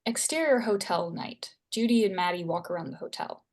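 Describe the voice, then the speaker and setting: A woman's voice: medium pitch, lively intonation, brisk, darkish tone. A woman reading stage directions as the narrator of a screenplay table read.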